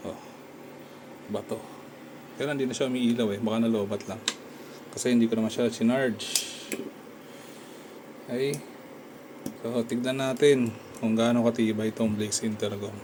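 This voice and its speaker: Casting: male gear reviewer, mid-pitched voice, conversational step-by-step demo delivery, noticeable background noise. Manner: conversational, narrates actions step by step. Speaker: motorcycle gear reviewer and content creator. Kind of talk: casual product review and demonstration. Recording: clear background noise, room ambience.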